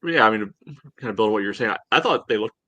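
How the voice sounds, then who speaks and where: A man co-hosting a sports podcast, giving measured, casual commentary. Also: lowish voice, lively intonation, sharp tone. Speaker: podcast co-host.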